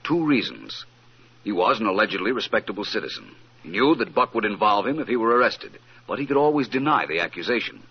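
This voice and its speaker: A man's voice: on the low side, sing-song, fast, breathy edge, heavily acted. An actor playing a suave, witty amateur detective in an old-time radio mystery, urbane and self-assured as he explains. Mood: assured, explanatory. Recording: muffled vintage radio recording.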